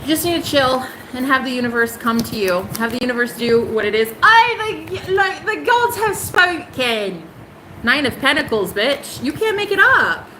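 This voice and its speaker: A young woman hosting a casual tarot livestream, sassy and emphatic, jumping high in pitch for punchlines. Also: very animated, moderate pace, bright, forceful tone, a little hiss or hum, poor audio.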